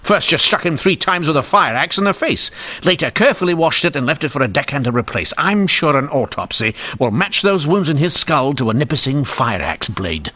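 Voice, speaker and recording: A man's voice: a little high, sing-song, fairly quick, hardly any pauses, pushed and bright, heavily acted. An actor playing a shrewd, dogged police inspector in a period radio drama, formal and sardonic in his speech. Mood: accusing. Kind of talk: old-time radio drama acting.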